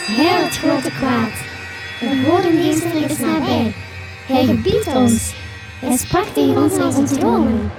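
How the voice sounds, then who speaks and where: A woman's voice: high-pitched, animated, a little slow, rough recording. A woman playing an eerie, possessed schoolchild in a gothic horror radio drama, trancelike and defiant. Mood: trancelike, ominous. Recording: loud music under the voice.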